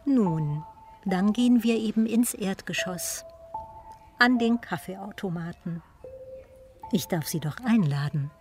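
A woman's voice: mid-range voice, lively intonation, slow, pauses often, darkish tone, faint music behind. A woman playing an imperious widow who boasts of noble blood, in a radio play, with a formal, commanding manner. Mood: composed, inviting. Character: imperious Polish widow boasting of princely Russian ancestry. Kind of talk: German radio play acting.

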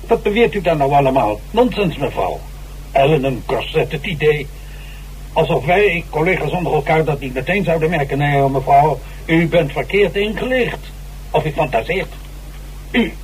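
A man's voice: a little high, expressive, normal speed, natural voice. A man in a Dutch radio drama playing an agitated, boastful old stage actor who stammers theatrically and defensively. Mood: indignant, flustered. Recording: noisy, humming old radio recording.

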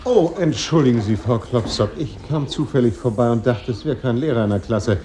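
A man's voice: mid-range voice, a little fast, natural voice. A man playing a busybody teacher in a radio drama, polite and eager to agree with colleagues. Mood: apologetic.

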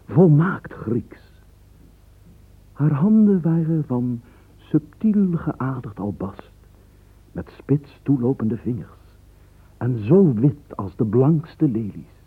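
A man narrating a radio drama as an admiring storyteller, savouring florid lines in a very husky voice. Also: medium pitch, expressive, slow, full character voice. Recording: muffled, phone-like radio play recording.

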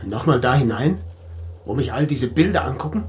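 An actor playing a shaken widowed artist in his forties, halting and stunned, in a radio crime drama. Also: mid-range voice, expressive, darkish tone, faint music behind, close to an everyday voice. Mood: distraught, halting. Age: 40s.

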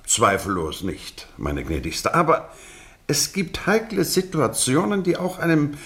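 A man acting in a German radio drama as a courteous, probing investigator, speaking in a measured, ironically polite and rhetorical way. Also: on the high side, sing-song, a bit bright, heavily acted. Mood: suave, insinuating.

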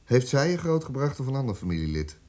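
An actor playing a condescending South African police interrogator in a radio drama, prodding with short, sardonic questions. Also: male voice, medium pitch, big pitch swings, fast, a little mellow, natural voice. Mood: probing.

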